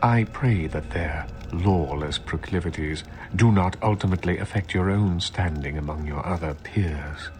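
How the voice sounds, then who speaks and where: An actor playing an urbane, condescending vampire regent in a video game, speaking formally and deliberately like a scheming mentor. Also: male voice, sits low, natural voice. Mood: disapproving. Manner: formal, measured, pompous, deliberate diction. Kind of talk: dramatic video game dialogue. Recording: loud background music.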